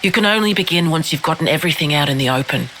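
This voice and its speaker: A woman voicing a calm, level-headed leader in a video game cutscene, composed and reassuring. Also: low-pitched, rapid-fire, a little hiss or hum, rough recording. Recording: music behind the voice.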